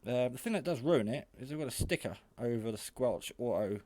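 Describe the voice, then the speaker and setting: A man's voice: medium pitch, expressive, brisk, dark tone, dry recording. A man giving a casual, hands-on product demonstration in a hesitant, rambling, matter-of-fact way. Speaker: hobbyist radio reviewer. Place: garage.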